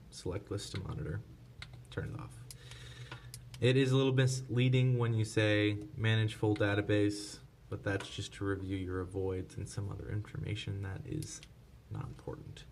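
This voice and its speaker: Male tutorial presenter, fairly low voice, informal walk-through delivery, light noise behind. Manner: informal, unscripted step-by-step explaining. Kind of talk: casual hands-on tutorial narration. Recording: clear background noise.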